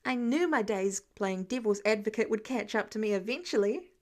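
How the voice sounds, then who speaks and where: A woman's voice: mid-range voice, expressive, normal speed, a little mellow, natural voice. A woman voicing a teasing, self-deprecating nerdy teenage boy in a casual, playful way.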